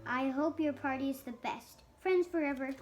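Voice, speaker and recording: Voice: high voice, normal speed, soft background music. A child of about seven or eight reading a picture book aloud, stumbling now and then on harder words. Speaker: young reader.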